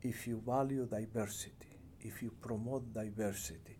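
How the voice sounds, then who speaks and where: Mellow middle-aged man with Ecuadorian Spanish accent. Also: lowish voice, fairly even intonation, normal speed, slight background noise. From Cuenca, Ecuador. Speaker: international development professional, interviewee. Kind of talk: reflective interview answers.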